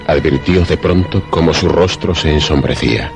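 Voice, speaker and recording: A man narrating a scripted suspense radio drama in a measured, formal, literary manner. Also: rumbling low, creaky, soft background music.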